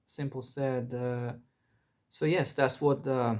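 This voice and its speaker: A man from Iran giving an unscripted history talk, halting, with frequent pauses and hesitations between phrases. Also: mid-range voice, fairly even intonation, darkish tone. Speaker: history commentator.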